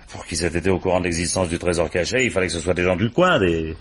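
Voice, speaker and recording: An actor playing a brusque, authoritative French police boss in a 1950s-style radio mystery, with curt colloquial phrasing. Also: male voice, deep voice, quick pace, a little hiss or hum, natural voice. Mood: pondering. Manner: curt, authoritative, colloquial, frequent "bon" and "hein".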